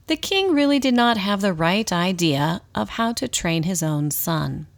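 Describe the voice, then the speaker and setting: A woman reading scripted storybook narration as the narrator of a radio drama. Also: fairly low pitch.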